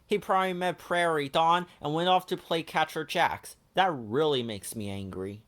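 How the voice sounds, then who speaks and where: A staid, bookish fellow from a children's storybook, played by a male reader. He speaks in a measured grumble and sounds like someone who is easily exasperated. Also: fairly high pitch, fairly bright tone, slight background noise, pitched above the performer's own voice, close to an everyday voice.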